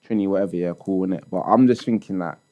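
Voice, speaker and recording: A man's voice: low-pitched, fast, narrow, tinny audio. A man co-hosting a podcast, pressing the others with questions in casual slang. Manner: pushy questioning, London slang. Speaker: podcast co-host. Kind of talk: casual chat.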